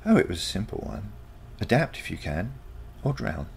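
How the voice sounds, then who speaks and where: A confident teenage granddaughter from a storybook future, acted out by a male reader. The delivery is lively and swooping with heavy creak, yet matter-of-fact and unconcerned. There is clear noise behind it. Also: lowish voice, moderate pace, voice pushed up. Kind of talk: storybook character dialogue.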